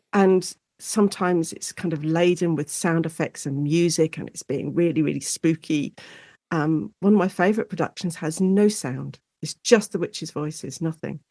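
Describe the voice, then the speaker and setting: A woman's voice: fairly low pitch, darkish tone, no echo. Chatty, enthusiastic British woman, dry close sound. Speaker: guest academic specialising in audio drama. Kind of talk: conversational interview.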